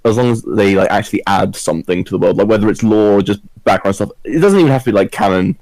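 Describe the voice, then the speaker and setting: A man's voice: fairly low pitch, expressive, quick pace, a little mellow, dry recording. A man discussing things casually as a panellist on a group podcast.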